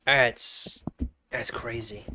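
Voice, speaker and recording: A man's voice: mid-range voice, steady tone, very slow, slight background noise. A man in his twenties with a blocked nose, chatting casually and jokingly on a live stream, often trailing off into mumbles. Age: 20s. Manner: joking, greets chat warmly, trails off into mumbles.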